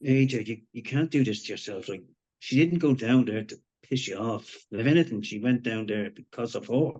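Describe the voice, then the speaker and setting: A man's voice: medium pitch, moderate pace, dark tone, muffled recording, natural voice. An actor playing a wry, shaken man given to gallows humour in a live screenplay reading. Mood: pleading. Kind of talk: live screenplay table read acting.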